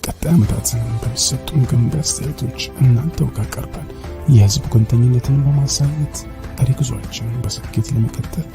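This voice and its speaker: Fast talking announcer guy, gravelly, music playing loud behind. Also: mid-range voice. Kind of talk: scripted promo voice-over.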